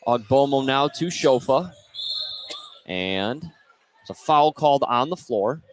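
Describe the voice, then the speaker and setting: A man doing live basketball play-by-play as the announcer, energetic and lifting his voice on scoring plays. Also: mid-range voice, takes it slowly. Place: indoor gym at basketball tournament. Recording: noisy gym ambience behind voice. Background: gym ambience.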